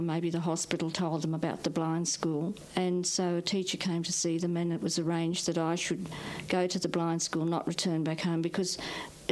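An Australian woman tells her own story in an interview. Her voice is on the low side and stays flat and even as she recollects. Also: poor audio. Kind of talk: plain personal recollection.

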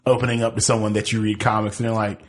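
A man co-hosting a casual podcast, wry and quick to act out mocking quotes. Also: lowish voice, little pitch movement. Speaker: podcast co-host. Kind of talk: casual chat.

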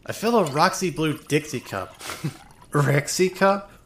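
Woman acting a tipsy, swearing young woman, very animated. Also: deep voice, slow, a little hiss or hum. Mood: tipsy, rambling. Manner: rambling, drunken hesitations, sarcastic swearing. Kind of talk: first-person dramatic narration of a fan audio drama.

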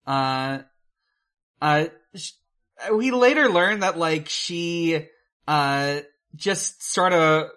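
A woman with a low voice and a slow pace. She chats casually as a podcast co-host, stopping and starting as she goes, and drops in swear words. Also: expressive, fairly bright tone. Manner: halting, profane. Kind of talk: casual movie discussion.

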